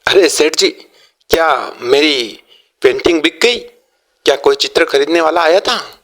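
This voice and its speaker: A man's voice: a little high, animated, measured pace, a bit bright. A man voicing a humble, eager painter in a cartoon story, speaking politely and deferentially. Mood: hopeful.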